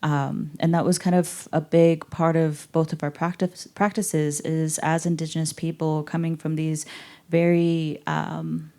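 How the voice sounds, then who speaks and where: A young adult woman artist talking in a measured, thoughtful way, with some fillers. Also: deep voice, animated, mellow. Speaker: artist in conversation. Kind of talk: reflective conversational talk.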